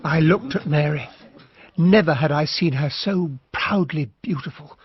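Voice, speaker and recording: An actor playing an earnest, romantic gentleman narrating a radio mystery in an expressive, wistful storytelling manner. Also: a little high, unhurried, husky, muffled recording. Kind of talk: BBC radio drama narration.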